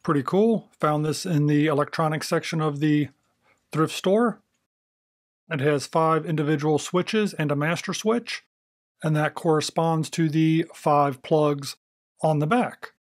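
Higher-voiced American man, dry close sound. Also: fairly even intonation, unhurried, no echo. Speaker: video host. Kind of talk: casual narration of a thrift find demo.

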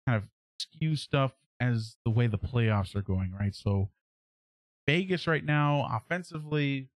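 A man in his thirties with a mid-pitched voice talks through sports analysis as a podcast host. He is casual and halting, working out his thoughts aloud. The sound is close and dry, with little room in it. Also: lively intonation, dry recording. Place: small indoor room at home, on a livestream webcam.

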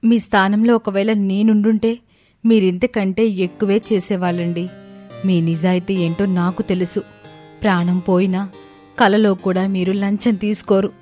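A woman voicing a devoted, sensible young wife in an animated story, earnest and reassuring. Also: medium pitch, normal speed, soft background music, natural voice.

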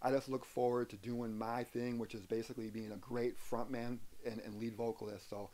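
Older-ish american guy being interviewed, mellow dark voice, earnest, repeats words a bit. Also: mid-range voice, level tone, brisk. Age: middle-aged or older. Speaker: interview guest, aspiring rock singer. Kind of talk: casual interview answers. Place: wooden sunroom indoors.

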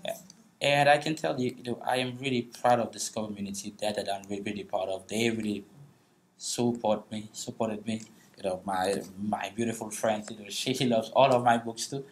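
A middle-aged man from Haiti with a Haitian accent, telling personal stories as a guest speaker, halting with many restarts and frequent laughs, in a large meeting room. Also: fairly low pitch, slight background noise, low-quality recording. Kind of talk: storytelling on a panel.

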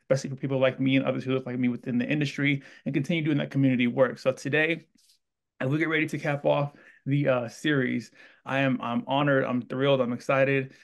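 A Texan man hosting an interview, talking in an enthusiastic, effusive and rambling way into a studio mic on a boom arm in a small indoor room. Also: level tone, fast talker, creaky, muffled recording. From Texas. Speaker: host.

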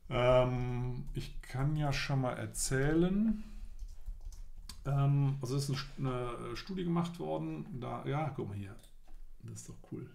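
A middle-aged man with a gravelly, mid-pitched voice, talking in a relaxed, joking way. He chats through a live stream from a desk webcam and reads headlines aloud with wry comments. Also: a little slow. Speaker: co-host. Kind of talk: casual live-stream discussion. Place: indoor room, seen on video.

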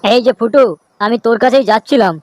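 Man doing a fast, grumpy cartoon grandpa. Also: very high voice, fairly even intonation, darkish tone, no echo. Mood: tattling. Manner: scolding, indignant. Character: grumpy, tattling, foul-mouthed old village man. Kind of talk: amateur comedy cartoon dubbing.

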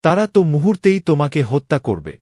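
A man's voice: fairly high pitch, sing-song, a little fast, darkish tone, no echo, studio quality. A man playing a king's loyal, worried young friend in a cartoon drama, with an earnest, pleading way of speaking. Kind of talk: dramatic Bengali cartoon voice acting.

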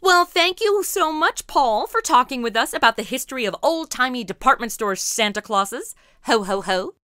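A woman hosts an interview segment on a podcast. Her voice is bright and fairly high, with animated swings in pitch. It is recorded dry in a small booth. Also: moderate pace, clear, resonant tone, no echo. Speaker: podcast host.

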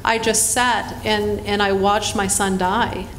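A woman on a conference panel, speaking in a halting, searching, earnest way about her own experience. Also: medium pitch, flat delivery, fairly bright tone. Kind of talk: personal reflection on a panel. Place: hotel conference room. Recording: roomy hall with faint noise.

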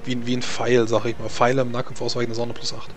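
A man's voice: mid-range voice, completely flat delivery, very fast. A man narrating a tabletop game as game master, often hesitating and repeating words as he rules. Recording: music playing behind the voice. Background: background music.

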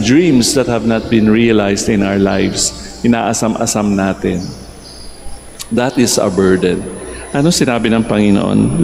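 Older man, Filipino accent, priest preaching, gravelly, low-pitched, long church reverb. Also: a little mellow, slight background noise. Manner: mixes Tagalog and English, asks the congregation rhetorical questions. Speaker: priest giving a homily. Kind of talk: sermon. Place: large church, in front of the altar. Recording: echoey church hall reverb.

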